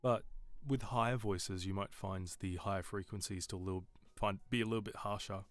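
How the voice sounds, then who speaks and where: Bassy man chatting loosely on a stream. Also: steady tone, a little fast, no echo. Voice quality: a lot of bass in his voice. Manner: rambling, loose, with many fillers and restarts. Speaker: streamer giving audio feedback. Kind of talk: casual live-stream chat.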